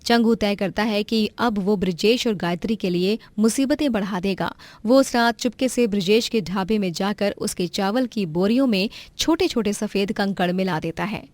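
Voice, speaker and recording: A woman narrating a cartoon moral story in a clear, expressive way. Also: medium pitch, normal speed, few pauses, dry recording.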